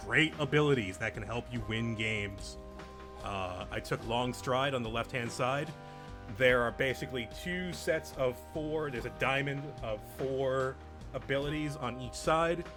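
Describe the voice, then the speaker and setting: A man's voice: mid-range voice, normal speed, over music. A man hosting a group let's play, casually commenting and patiently explaining the game's mechanics.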